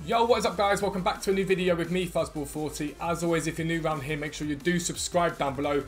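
A man in his thirties with a slightly croaky voice, chatting quickly and eagerly to viewers as a gaming YouTuber, recorded at a desk in a room at home. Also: fairly high pitch, faint music behind. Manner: rapid, chatty, enthusiastic. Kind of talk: energetic casual chat to viewers.

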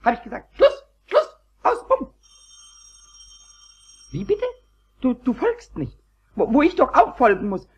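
Casting: squeaky, cackly kobold imp, high and swooping, whiny, proud, stammering, children's audio drama. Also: male voice, measured pace, pauses often, slight background noise, exaggerated voice. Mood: agitated, flustered. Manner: stammers and repeats words excitedly. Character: mischievous little kobold boy, excitable, whiny, proud. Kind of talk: children's radio play acting.

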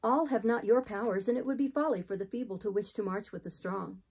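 A woman's voice: medium pitch, fairly quick, darkish tone, slightly rough. A woman voicing an earnest, troubled young woman in an audiobook, hesitant and pleading as she resists. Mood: guarded. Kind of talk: audiobook character reading.

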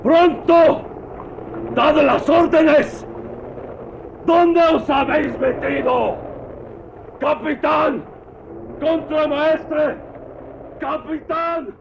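An actor playing a panicked man urgently shouting orders on a storm-tossed ship in an old radio drama. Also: very high-pitched, steady tone, drawn-out words, creaky, long pauses, heavily acted. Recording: dull archive radio recording, loud storm noise.

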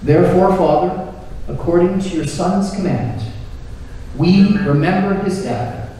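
A man, an Anglican priest, reciting liturgical prayer in a solemn, measured, formal manner. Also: high-pitched, warm tone. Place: inside a church at the altar. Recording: echoey church hall, distant, faint noise.

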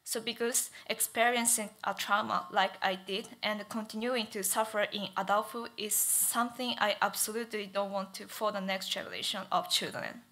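A young woman presents her thesis at a lectern microphone on a stage, not speaking in her first language. Her delivery is careful and halting, with many fillers. Her voice is bright and clear at an ordinary pitch. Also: steady tone. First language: not English. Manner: stutters, careful with frequent fillers. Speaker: student presenter. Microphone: lectern mic.

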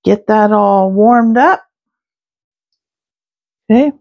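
A woman talks her way through a recipe in her home kitchen, unhurried and easygoing, pausing with little fillers between steps. Her voice sits at an ordinary middle pitch, and she takes her time with every word. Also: muffled recording. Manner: slow, relaxed step-by-step talk with fillers. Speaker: home cook, channel host. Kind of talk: casual cooking narration.

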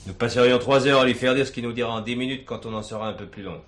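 An actor playing a calm, blunt, matter-of-fact police commissioner in a French radio crime drama. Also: male voice, mid-range voice, racing, natural voice. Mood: dry. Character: calm, authoritative police commissioner, a seasoned male boss.

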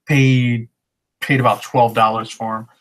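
Unhurried thirties man, monotone, plain webcam sound, reselling talk. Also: medium pitch. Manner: relaxed, matter-of-fact item rundown. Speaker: reseller showing thrift finds. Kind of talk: casual haul vlog. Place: living room at home. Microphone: webcam at desk.

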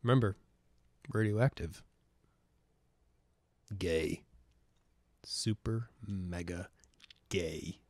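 A man casting a tournament match of a video game, with excited play-by-play and casual asides, sniffling from a cold. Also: sits low, extremely slow, pauses often, no echo. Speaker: esports caster. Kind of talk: game commentary.

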